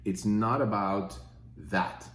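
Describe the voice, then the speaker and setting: A man's voice: fairly low pitch, takes it slowly, echoey room. A fifty-year-old man from Switzerland, talking casually and rambling with many fillers in his own opinion video. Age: 50s. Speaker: podcast host.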